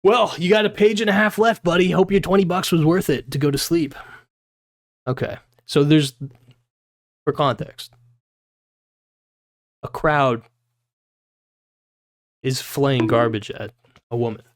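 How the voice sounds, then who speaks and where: A man's voice: a little high, measured pace, pauses often, no echo, studio quality. A man livestreaming, reading a novel aloud and breaking off into informal, puzzled asides with some swearing.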